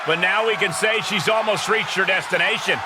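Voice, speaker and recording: A male wrestling announcer delivers dramatic play-by-play in a high voice. Loud arena noise surrounds him. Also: a little fast, a loud crowd. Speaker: wrestling commentator. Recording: very noisy arena background.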